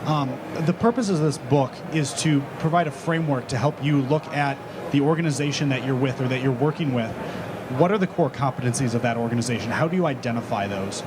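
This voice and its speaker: A man's voice: mid-range voice, a little fast, background noise. Fluent explanatory man on a panel. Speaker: author on a panel. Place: large conference stage.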